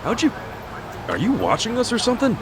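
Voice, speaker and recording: A man's voice: very high-pitched, wildly swooping pitch, a little fast, noisy background, heavily acted. A man voicing a brash but good-hearted hero in a crime drama video game. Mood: puzzled. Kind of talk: video game cutscene acting.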